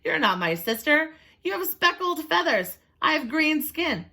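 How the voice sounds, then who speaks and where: Brief: scheming female crocodile, gloating and smug, put on by a woman reading aloud, pitched up. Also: sing-song, measured pace, sharp tone, full character voice. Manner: gloating, mocking laugh.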